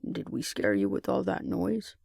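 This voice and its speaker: Audiobook role: awkward, protective survivor in their forties, read by a man, gravelly, lively pitch, gentle teasing delivery. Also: moderate pace, no echo, studio quality, heavily acted. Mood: friendly. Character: good-humored, protective, awkward forty-three-year-old survivor.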